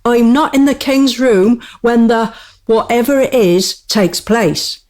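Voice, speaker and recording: A woman acts a small court jester in a radio play, irreverent and witty. The voice sits fairly high and moves slowly, with measured, wry storytelling and mock-courtly formality. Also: darkish tone. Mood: confiding, grave.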